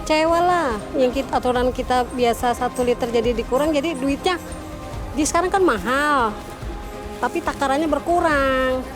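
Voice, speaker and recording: An ordinary woman gives casual answers in a street interview. Her voice is high, and music is clearly heard behind her. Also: normal speed. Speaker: member of the public interviewed.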